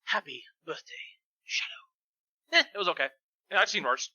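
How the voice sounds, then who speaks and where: A man with a high, sharply bright voice and animated intonation. He comments casually and comically, often in a mock-serious, joking way. The sound is close and dry. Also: normal speed, slightly rough, no room sound, highs cut off. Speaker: fan fiction podcast reader.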